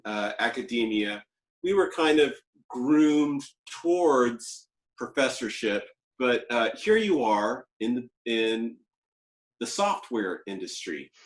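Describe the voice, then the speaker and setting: A man hosting an interview, asking questions in an unhurried, hesitant way with fillers. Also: mid-range voice, lively intonation. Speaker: host, interviewer. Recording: echoey room.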